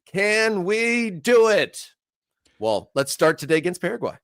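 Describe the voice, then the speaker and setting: A man's voice: sits high, big pitch swings, measured pace, fairly bright tone, no room sound, studio quality. A man hosting a casual soccer talk show, teasing his co-host and reading show plugs. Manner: joking, teasing.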